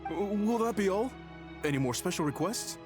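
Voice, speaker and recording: Proud game chef, lightly high voice, lively intonation, theatrical, hospitable, music behind. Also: male voice, moderate pace. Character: Chef Mao, proud hearty male restaurant chef. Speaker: restaurant chef. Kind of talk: video game voice acting.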